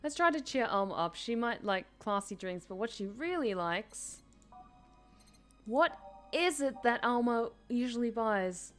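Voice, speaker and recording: A woman's voice: on the high side, lively intonation, unhurried, fairly bright tone. Chatty woman streamer over faint music. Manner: chatty, self-deprecating, reads chat aloud. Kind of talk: casual game stream chat.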